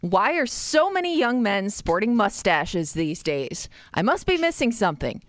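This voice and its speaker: A woman co-hosting a morning radio show. Her voice moves lively up and down in a mid register. The sound is close and dry, and her tone stays wryly amused. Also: medium pitch, normal speed, no echo. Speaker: radio co-host. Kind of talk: casual radio banter and reading a listener post.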